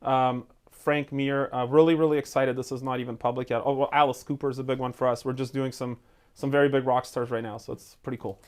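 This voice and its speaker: A man in his forties, from Ukraine, whose first language is Russian, sits as the guest in a casual interview. He talks at a very quick clip and slips into small voices when he retells what people said, with a mid-range pitch. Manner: fast, animated, acts out quotes. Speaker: guest, entrepreneur.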